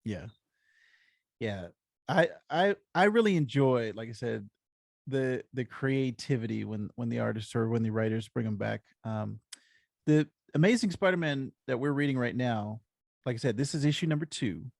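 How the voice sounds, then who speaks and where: A man's voice: medium pitch, expressive, normal speed, gravelly, darkish tone, no room sound, clean studio sound. An American man talking casually as a comics podcast co-host, explaining things in an agreeable way. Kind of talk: casual chat.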